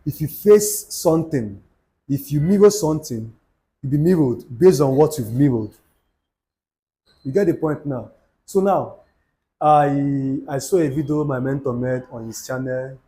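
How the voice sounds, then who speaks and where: Young nigerian guy w igbo accent, warm dark voice, preaching at the camera, pidgin bits thrown in. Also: mid-range voice, poor audio. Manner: preachy, repeats himself, Pidgin asides. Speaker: YouTube channel host. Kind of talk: motivational talk to camera.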